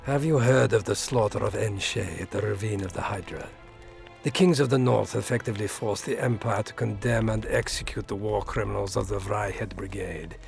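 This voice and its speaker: An actor playing a courteous, scheming middle-aged Imperial ambassador in a fantasy game, formal and politely menacing. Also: male voice, fairly low pitch, moderate pace, vocal fry, faint music behind. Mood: coolly courteous. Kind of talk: scripted fantasy game dialogue.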